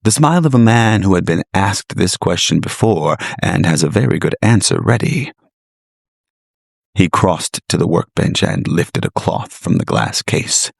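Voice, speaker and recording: A man giving measured, portentous scripted narration of a gothic tale. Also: deep voice, animated, vocal fry, dry recording, clean studio sound.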